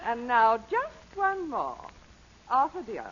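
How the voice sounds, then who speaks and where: A forthright, teasing society hostess of the old radio drama school. Her voice is high and bright, with lively swings as she gives orders. The vintage recording is dull. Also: slow, slight background noise, heavily acted. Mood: blunt, brisk. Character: imperious, blunt aristocratic aunt hostess. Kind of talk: 1930s radio acting.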